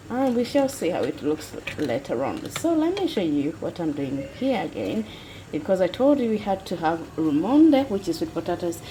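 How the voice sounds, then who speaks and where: A woman's voice: sits low, noisy background. A young Ugandan woman hosting a casual cooking vlog in her home kitchen, chatting her way through each step and often correcting herself mid-sentence. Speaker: vlogger.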